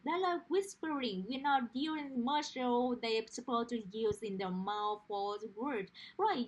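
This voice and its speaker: A woman's voice: a little high, low-quality recording. A woman with a Romanian accent, a native Romanian speaker, teaching English pronunciation in an earnest, explanatory way with everyday examples. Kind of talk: direct-to-camera teaching monologue.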